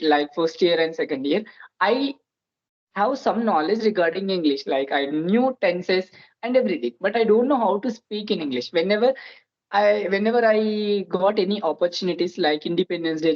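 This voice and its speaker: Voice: medium pitch, darkish tone, muffled recording. A speaker in their twenties with a Telugu-influenced Indian accent, a native Telugu speaker, chatting earnestly as host and starting many phrases with "so". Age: 20s. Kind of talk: casual interview chat.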